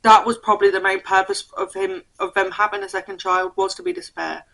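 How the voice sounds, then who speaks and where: A young woman chatting about books for her channel, a bit croaky from a cold, reading blurbs aloud and tripping over names. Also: mid-range voice, steady tone, a little fast, a bit bright, rough recording. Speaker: book vlogger. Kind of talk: casual book haul chat.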